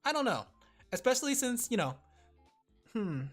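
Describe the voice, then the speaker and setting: A man's voice: extremely high pitch, very animated, normal speed, faint music behind. A man streaming and chatting casually with his viewers, rambling with lots of fillers and frequent giggles.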